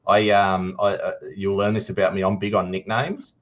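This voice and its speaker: A man's voice: lowish voice, level tone, moderate pace, dull audio. A young man, co-hosting a casual podcast in an easygoing, hesitant way over a desk mic on a video call. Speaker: podcast co-host.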